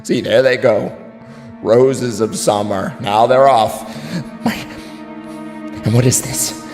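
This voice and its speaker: A man's voice: mid-range voice, steady tone, slow pace, close to an everyday voice. An actor playing a grief-stricken, wavering drunken nobleman, lamenting theatrically in a classical tragedy. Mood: anguished weeping. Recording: long hall reverb, music underneath.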